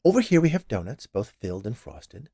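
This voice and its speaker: A man's voice: mid-range voice, sing-song, fairly quick, creaky, husky, no echo, clean studio sound, full character voice. A man voicing a cheerful, chatty large woman who runs a candy shop in a storybook tale. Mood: cheerful, proud. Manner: bright, chatty, eager.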